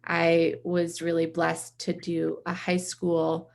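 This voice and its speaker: A woman from the East Coast talks casually and reflectively as an interview guest. Her voice sits a little low and often drops into gravelly vocal fry. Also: monotone, slow. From from the US East Coast, around Boston.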